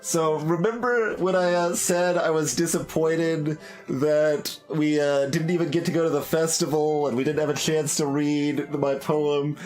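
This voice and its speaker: A man's voice: fairly high pitch, fairly even intonation, unhurried, few pauses, darkish tone, soft background music, poor audio. A man streaming a game, chatting casually and reading text aloud expressively.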